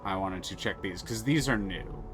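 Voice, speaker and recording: A man's voice: sits low, moderate pace, music in the background. A man streaming a story game live, reading narration aloud and thinking aloud between moves, with frequent restarts.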